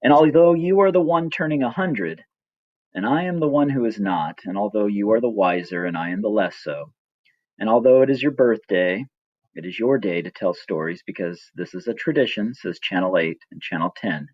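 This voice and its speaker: Low-voiced man reading, muffled recording. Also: expressive, moderate pace, no echo. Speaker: writer reading own story. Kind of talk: scripted personal narration, storytelling.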